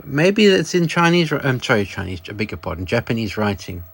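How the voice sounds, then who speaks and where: Casual man with a lively, swooping voice. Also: medium pitch, brisk, creaky, slight background noise. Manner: chatty, musing aloud with self-corrections. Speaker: video channel host. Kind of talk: casual show-and-tell product demonstration.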